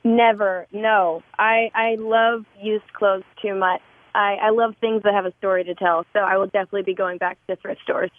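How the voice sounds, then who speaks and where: A woman, a singer-songwriter guest, chatting in a warm, effusive and openly emotional way. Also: mid-range voice, fairly even intonation, moderate pace, no echo. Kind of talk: casual interview chat. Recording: tinny phone-like remote line.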